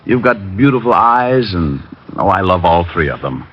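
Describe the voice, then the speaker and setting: An actor playing a wisecracking private detective who also narrates, with dry sarcastic humor, in a 1950s radio drama on a dull old broadcast recording. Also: male voice, low voice, sing-song, normal speed, slight background noise. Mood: wry.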